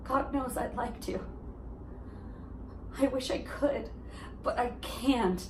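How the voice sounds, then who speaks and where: A woman performing an amateur theater monologue as a heartbroken high school girl, insistent and emotional, with deliberate dramatic pauses. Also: roomy sound, background noise. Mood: wounded, resigned.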